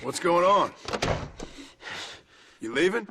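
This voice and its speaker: An actor playing a gruff gunslinger in a western game, terse, deadpan and dryly sardonic. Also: male voice, high voice, slow, background noise. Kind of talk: western video game acting.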